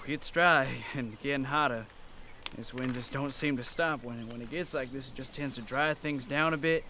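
A man's voice: mid-range voice, moderate pace, pushed and bright, a little hiss or hum, lightly acted. An actor in his twenties playing an earnest, plain-spoken young male farmer in an audio drama. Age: 20s.

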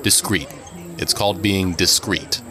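An actor playing a cautious, hopeful police officer in an audio drama, earnest and questioning. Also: male voice, deep voice, unhurried, sharp tone. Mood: curious, hopeful. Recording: outdoor city noise behind. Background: urban street ambience.

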